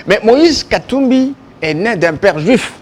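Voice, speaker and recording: A man's voice: sits high, lively intonation, measured pace. A man with a Congolese French accent, arguing insistently and emphatically as a political guest in a television debate, often repeating himself. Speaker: political guest, youth league president. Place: television studio.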